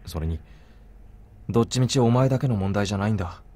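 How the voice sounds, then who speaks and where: A man's voice: on the low side, rapid-fire, dark tone, close to an everyday voice. A man voicing a blunt, protective young man in a visual novel, terse and matter-of-fact. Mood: firm, serious. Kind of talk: Japanese visual novel voice acting.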